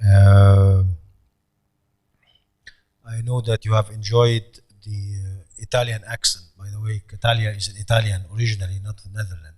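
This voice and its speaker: A middle-aged man with an Egyptian accent giving a conference lecture, pausing often with fillers. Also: on the low side. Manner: hesitant, many fillers, non-native phrasing. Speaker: professor lecturer.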